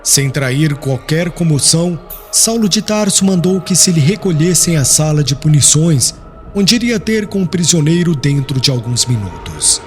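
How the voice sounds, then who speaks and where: A man's voice: a little high, lively intonation, normal speed. A man narrating an audiobook in a formal, solemn, dramatic storytelling style. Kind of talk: dramatic scripted narration of religious historical novel. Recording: music clearly behind the voice.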